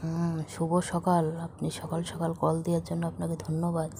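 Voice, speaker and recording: A slightly low-voiced woman with a Bangladeshi accent chats intimately on the phone. She teases languidly, keeping her pitch very flat. The call recording carries faint noise. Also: normal speed, creaky. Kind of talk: casual intimate phone chat.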